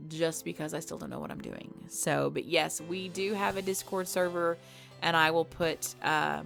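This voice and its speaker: A woman's voice: on the low side, gravelly, a bit bright. A woman hosting her channel's subscriber Q&A, chatty, playful and self-deprecating. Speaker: ASMR channel host. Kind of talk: casual subscriber Q&A.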